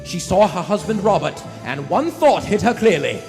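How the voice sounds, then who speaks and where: A man's voice: high-pitched, big pitch swings, normal speed. A man playing the dramatic narrator of a suspense radio play, theatrical in manner. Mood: urgent. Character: dramatic storyteller of a suspense thriller. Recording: live theater hall with music.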